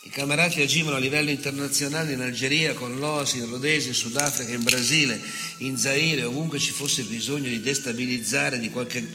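An actor playing an unrepentant, bitter fascist nostalgic in a declamatory, sardonic theatre monologue. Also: male voice, mid-range voice, steady tone, brisk, lightly acted. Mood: nostalgic, proud. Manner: declamatory, sardonic, dramatic swings between murmur and outburst. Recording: reverberant theatre hall with music.

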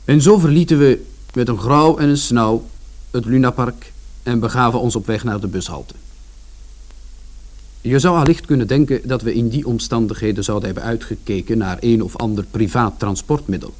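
A man's voice: medium pitch, normal speed, darkish tone, natural voice. An actor playing a plain-spoken working-class son who tells his story in a colloquial, confiding first-person radio drama narration. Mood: reflective, chatty.

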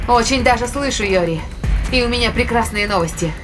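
A woman's voice: on the high side, quick pace, music in the background, natural voice. An actress playing a bloodthirsty, triumphant woman fighter in a sci-fi thriller audio drama. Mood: gleeful. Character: bloodthirsty triumphant female spaceship fighter, gleeful.

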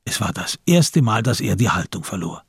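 A man's voice: on the low side, very animated, moderate pace, vocal fry, breathy edge, dry recording, studio quality. A man narrating a radio crime drama in scripted, literary prose.

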